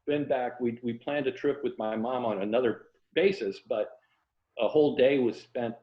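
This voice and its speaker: A man's voice: mid-range voice, moderate pace. Echoey, slightly muffled American man, reflective. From Florida, USA. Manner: reflective, anecdotal, self-deprecating. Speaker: interview guest, fiction writer and former journalist. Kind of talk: casual interview conversation about writing. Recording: echoey room, slightly dull lo-fi audio.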